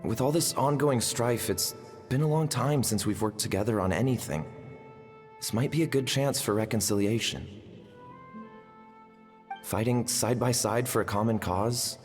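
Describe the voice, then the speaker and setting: A man playing an earnest, devoted healer in a fantasy game. He speaks at a middling pitch, measured and scholarly. Long reverb and faint music surround him. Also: a little mellow, close to an everyday voice. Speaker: voice actor in a game cutscene. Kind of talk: dramatic game dialogue acting.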